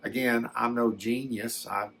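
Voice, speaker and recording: An older man telling a personal story in a casual, unscripted way, halting and repeating words as he reflects. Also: mid-range voice, fairly even intonation, vocal fry, a bit bright, a little hiss or hum. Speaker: storyteller recounting a personal experience.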